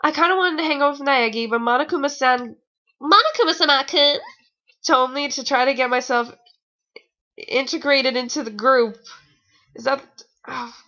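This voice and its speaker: A woman's voice: high voice, moderate pace, pauses often, bright, forceful tone, highs cut off. A young adult woman giving a mocking amateur fan fiction reading with rambling, exasperated, theatrical asides, sounding a bit tired. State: tired, sore nose.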